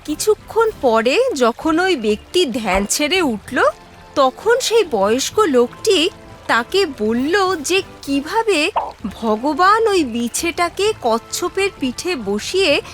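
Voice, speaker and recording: A woman tells a children's story in a high, lively voice. She takes it slowly and expressively, addressing young listeners, with music playing behind her. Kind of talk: scripted children's story narration.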